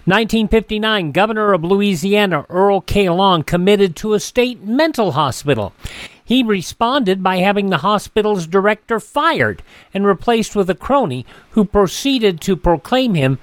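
A man presents as a radio host, reading scripted dated facts and introductions. His voice sits on the high side for a man. The sound is dry and close, with no room around it. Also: no echo. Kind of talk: scripted on-air narration of history facts and show introductions.

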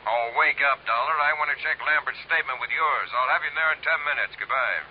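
A man's voice: medium pitch, quick pace. An actor playing a businesslike male police lieutenant, heard through a telephone filter in an old radio drama. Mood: brisk. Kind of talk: 1950s radio drama acting. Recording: dull, noisy old radio recording.

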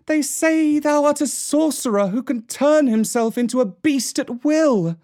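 A man's voice: very high-pitched, expressive, measured pace, darkish tone, voice pushed up. A man voicing a loving, anguished village mother who pleads in archaic storybook phrasing. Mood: anguished pleading. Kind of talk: storybook character voice.

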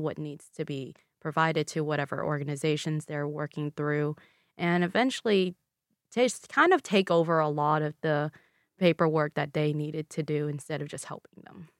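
A woman in her twenties, raised in the American South with Vietnamese as her first language, explaining her family story calmly and steadily in an interview. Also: deep voice, dry recording, clean studio sound. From Louisville, Kentucky, USA. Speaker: interview guest. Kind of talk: conversational interview.

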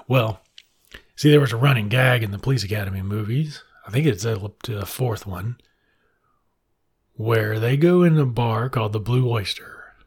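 Husky, irreverent American man. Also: mid-range voice, moderate pace, darkish tone. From Mississippi, United States. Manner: irreverent, sardonic, profane. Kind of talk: comic monologue commentary.

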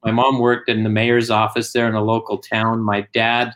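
A man from Germany raised in Utah, a guest in a casual interview, telling family stories plainly with frequent pauses. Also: fairly low pitch, monotone, a bit bright, slight background noise. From born in Germany, raised in Utah.

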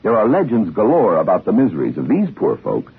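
An American man hosting a 1950s radio show, telling scripted humorous tales in a husky voice. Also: lowish voice, wildly swooping pitch, a little fast. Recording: muffled narrow-band 1950s radio recording.

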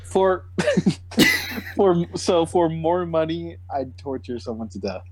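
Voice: medium pitch, lively intonation, dry recording, low-quality recording. A podcast co-host tossing in short, wry remarks during a casual chat. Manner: quick wry interjections, laughs.

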